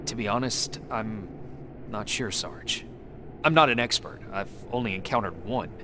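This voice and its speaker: An actor playing an earnest, candid resistance soldier who speaks respectfully to superiors in a video game. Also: male voice, fairly low pitch, moderate pace, background noise, lightly acted. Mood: guarded, honest. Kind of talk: dramatic cutscene acting.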